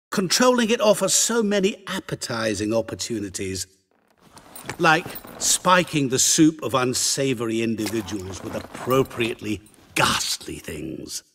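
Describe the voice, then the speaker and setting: An actor voicing a suave, sinister otherworldly merchant in a video game, theatrical, smug and teasing in a deliberate way. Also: male voice, on the low side, fairly bright tone, breathy edge, full character voice. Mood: smug, playful.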